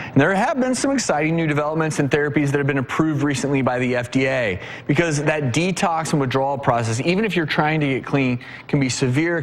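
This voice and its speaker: A man hosting a health talk show, explaining things in a measured, presenterly way. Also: a little high. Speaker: TV show host.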